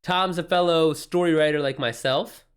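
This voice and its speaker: A man's voice: on the high side, normal speed, clear, resonant tone. A man with an American accent chatting informally on a livestream as host, using slang and reacting aloud to viewer comments. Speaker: livestream host and self-published author. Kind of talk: casual livestream chat, answering viewer comments.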